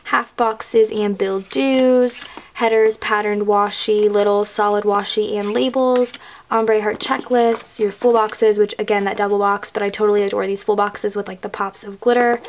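A woman's voice: medium pitch, fairly even intonation, slight background noise. A woman in her twenties, recovering from illness and losing her voice, gushes through a casual haul of planner stickers at a tabletop. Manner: gushing, fast filler-heavy enthusiasm. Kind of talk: casual haul show-and-tell. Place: indoors at a table, hands-only overhead camera.

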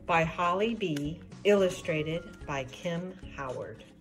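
An older American woman hosting storytime for children, expressive and sing-song as she talks and reads aloud. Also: lowish voice, slow pace, soft background music, poor audio. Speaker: children's storytime host and reader. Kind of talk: reading a rhyming picture book aloud to children.

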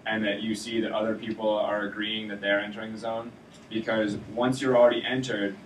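A young man explaining sailing rules to his college team in a steady manner, with some restarts. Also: fairly low pitch, a little hiss or hum. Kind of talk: informal lecture to a student sailing team. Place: lecture classroom. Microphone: far camera in the room. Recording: roomy classroom from far off.

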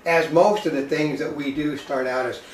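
A man's voice: on the high side, steady tone, moderate pace, recorded from a distance, slight background noise. A man bantering casually on a live home cooking show, rambling with dry self-mocking jokes. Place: home kitchen, indoors. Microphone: distant camera mic. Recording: roomy kitchen sound from afar.

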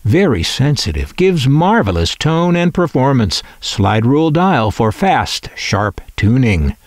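A man presenting and reading catalog copy aloud as scripted narration, in a deliberate, itemized way. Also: mid-range voice, big pitch swings, dry recording, studio quality.

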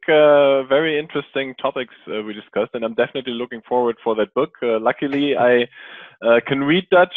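A man's voice: mid-range voice, dry recording. Interviewer in his thirties on a tinny line. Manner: relaxed, hesitant with fillers. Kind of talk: casual interview over video call. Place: small room at home. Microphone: headset. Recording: tinny dry phone-like call audio.

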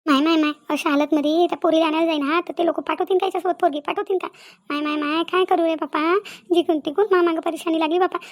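A woman's voice: very high voice, fairly even intonation, quick pace. A woman playing an aggrieved middle-aged village mother-in-law in a cartoon comedy, complaining repetitively in a self-pitying way, with a Vidarbha Marathi accent. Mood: lamenting. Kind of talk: cartoon family comedy dialogue.

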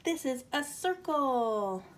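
A woman reading a picture book aloud for children, addressing them as friends and pausing before key words. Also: high voice, expressive. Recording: clear background noise, home recording. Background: background noise.

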